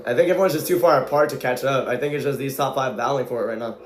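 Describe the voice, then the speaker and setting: A man calling a stock car race live as an amateur commentator. He talks excitably, often shouts, and his voice is going hoarse from the strain. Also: mid-range voice, rapid-fire, reverberant.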